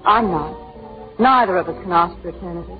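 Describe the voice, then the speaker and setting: An actress playing a wistful, bravely resigned young woman on the run in 1940s romantic radio drama, with a poetic, measured delivery. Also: fairly low pitch, lightly acted. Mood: tender, wistful. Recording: muffled 1940s radio recording with music.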